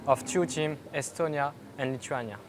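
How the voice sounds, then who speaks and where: A man's voice: on the high side, normal speed. A man co-hosting a live event in a casual, conversational way. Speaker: co-presenter.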